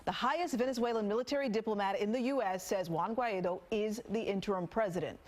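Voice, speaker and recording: A woman with an American accent reading the news as a TV anchor. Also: medium pitch. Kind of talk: scripted news reading with light co-anchor banter.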